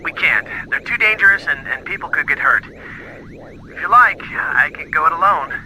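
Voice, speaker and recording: A man's voice: on the high side, animated, moderate pace, noisy background, natural voice. An actor playing an eager, nervy young male informant in a video game, chatty and informal in manner. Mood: earnest.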